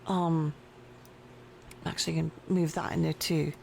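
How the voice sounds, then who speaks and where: A woman streaming a game at her home desk, chatting casually and slowly with her viewers and her pet. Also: low-pitched, flat delivery, vocal fry, darkish tone, a little hiss or hum. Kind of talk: casual live-stream chat while playing. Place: home desk with a cat nearby.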